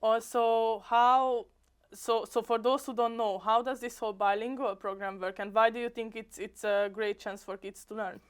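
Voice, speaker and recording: A woman's voice: a little high, flat delivery, normal speed, clean tone. A young woman interviewing a teacher, chatty and a little hesitant. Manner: chatty, hesitant, with small laughs. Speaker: student interviewer. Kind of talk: casual interview.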